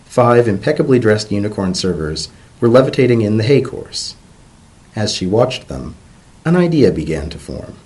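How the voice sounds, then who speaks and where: A man giving an expressive, measured dramatic reading of a story as its narrator. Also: fairly low pitch, rough recording.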